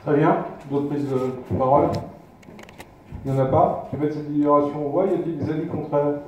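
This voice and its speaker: A man's voice: fairly high pitch, flat delivery, a little fast, darkish tone. A man chairing a council meeting as its president, in brief procedural phrases, recorded from far off in an echoey room. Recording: distant, very echoey room, faint noise.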